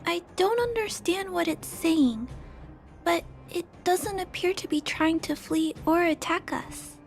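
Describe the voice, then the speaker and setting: A woman voicing a gentle, curious young girl in video game story dialogue, hesitant and thoughtful. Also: very high voice, measured pace, vocal fry, soft background music, full character voice. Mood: hesitant, puzzled.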